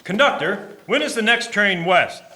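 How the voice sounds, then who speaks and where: A man's voice: sits high, animated, normal speed. A man playing an anxious, determined ship's captain who speaks formally and insistently in a historical radio drama. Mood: urgent. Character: anxious, determined steamship captain, brisk and commanding. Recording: noisy, echoing recording with clicks.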